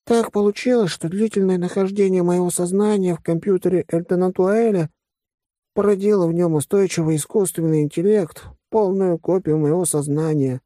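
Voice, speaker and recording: A male narrator puts on an earnest furry creature for a storybook. The voice sits well above a normal male register. It is thoughtful, bookish and measured, in a dry close recording. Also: fairly even intonation, warm tone, no room sound, close to an everyday voice. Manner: measured, bookish, high-pitched.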